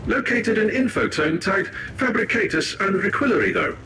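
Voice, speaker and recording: An actor playing a pedantic half-machine tech-priest in a video game, reporting data in formal, clinical, clipped phrases. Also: male voice, sits high, expressive, moderate pace, over music. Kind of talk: video game cutscene acting.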